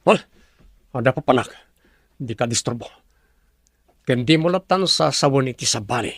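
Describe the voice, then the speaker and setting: An actor with a Filipino accent playing an arrogant, scheming rich villain boss in a radio drama, domineering and snapping. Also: male voice, mid-range voice, expressive, moderate pace, husky, no room sound, studio quality. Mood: curt, threatening.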